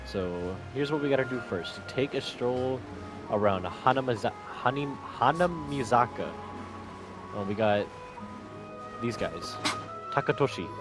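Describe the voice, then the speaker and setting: A man's voice: medium pitch, lively intonation, measured pace, long pauses. A man giving casual gameplay commentary as a gaming streamer. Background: game music.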